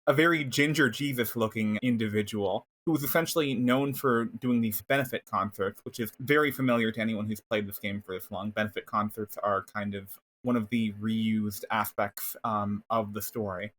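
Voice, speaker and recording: A man's voice: lowish voice, fairly even intonation, moderate pace, few pauses, a bit bright, low-quality recording. A man co-hosting a podcast, explaining things in a wry, wordy way during a casual recap. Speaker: podcast co-host.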